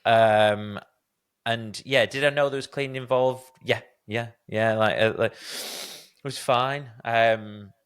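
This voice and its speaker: A man's voice: mid-range voice, fairly even intonation, takes it slowly, clear, resonant tone. A man hosting a podcast interview, telling candid, self-deprecating stories in a casual conversational way. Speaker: podcast host.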